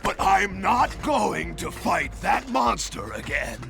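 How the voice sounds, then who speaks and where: A man's voice: sits high, very animated, slow pace, creaky, full character voice. A man voicing a cowardly, self-pitying male village warrior in a video game, talking in a pompous, theatrical, whining way. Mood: melodramatic. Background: game music.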